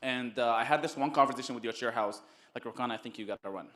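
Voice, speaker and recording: A man giving a casual testimonial as a guest, halting and often repeating his words. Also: medium pitch, fairly bright tone. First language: Arabic. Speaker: summit guest, network marketer.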